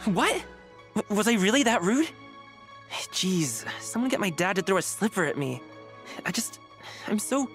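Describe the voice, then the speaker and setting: A man's voice: high-pitched, very animated, moderate pace, long pauses, a bit bright, faint music behind, full character voice. An actor voicing a brash, cocky young male dancer in a video game. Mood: dismayed. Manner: brash, exclamatory. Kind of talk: game voice acting.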